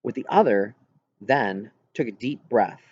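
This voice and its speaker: A man reading a story aloud as an audiobook narrator, with an expressive scripted delivery. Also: lowish voice, a little slow, slightly rough, highs cut off.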